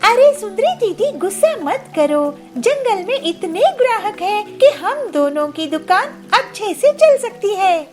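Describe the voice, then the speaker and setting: A woman puts on a sweet, cheerful little bird from a children's cartoon. The voice is pitched very high and moves in lively swoops, with a polite, gentle manner. Music is clearly heard behind it. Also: measured pace, hardly any pauses, full character voice. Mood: soothing. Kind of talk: children's cartoon story voice acting.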